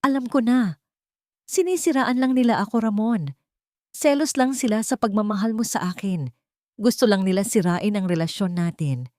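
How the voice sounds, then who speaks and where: Woman voicing a scheming wife, higher-pitched and defiant. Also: normal speed, warm tone, no room sound, studio quality, natural voice. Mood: desperate denial. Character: scheming deceitful wife, desperate, defensive, turning threatening.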